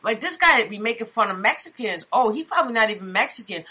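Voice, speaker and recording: A woman from New York co-hosting a talk show, telling stories in quick, profane casual chat. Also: fairly high pitch, lively intonation, pushed and bright, breathy edge. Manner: rapid, profane storytelling. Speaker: co-host.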